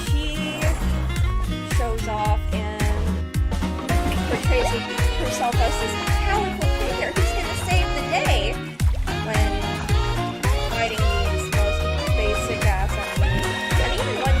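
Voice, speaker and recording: A woman streamer giving casual, emphatic analysis of a game video, halting as she thinks through points. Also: fairly high pitch. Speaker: streamer, host.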